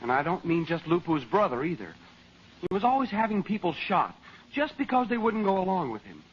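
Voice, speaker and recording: A man's voice: high-pitched, sing-song, fairly quick, husky, heavily acted. A young man playing an eager, outspoken teenage boy in an old radio adventure serial. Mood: indignant. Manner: eager, argumentative, plain-spoken. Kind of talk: 1930s-40s radio adventure serial acting. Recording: muffled noisy old radio recording.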